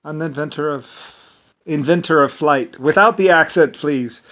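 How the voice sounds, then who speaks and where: A man's voice: on the high side, moderate pace, fairly bright tone. An American man with an Irish-sounding lilt, talking in a rambling, meandering casual monologue. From United States. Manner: rambling, meandering, leans on "basically".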